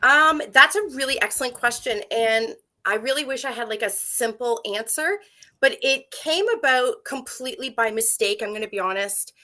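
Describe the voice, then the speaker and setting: Animated, bright-voiced French-native woman. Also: a little high, moderate pace, soft background music. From Canada. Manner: animated, talkative, with fillers and laughs. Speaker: guest, first aid instructor. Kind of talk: casual interview talk.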